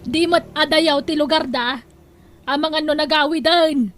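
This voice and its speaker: A woman's voice: high-pitched, steady tone, normal speed, a bit bright. A woman acting a coaxing, plaintive mother who pushes her son in an audio drama. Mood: brisk, scolding. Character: pleading indebted mother pushing her son to marry. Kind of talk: Ilocano radio-style audio drama acting.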